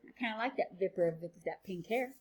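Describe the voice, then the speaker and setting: A woman teacher reading a picture book aloud to children, playful and sing-song, with quieter chatty asides. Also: fairly low pitch, fast.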